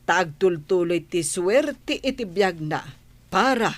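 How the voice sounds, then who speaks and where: A woman's voice: on the low side, lively intonation, slow pace, very noisy. A woman playing a frank, chatty confidante in a radio drama, speaking expressively. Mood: sympathetic. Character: frank, chatty female relative or friend.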